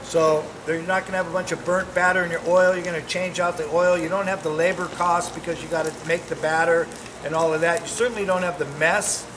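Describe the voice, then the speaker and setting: Upbeat fishmonger guy, fifties, kinda high voice, joking around while he cooks, noisy room. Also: fairly even intonation, a little fast. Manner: upbeat, joking, salesman-like chatter. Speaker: fishmonger demonstrating seafood. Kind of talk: casual cooking demo. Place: small shop kitchen indoors.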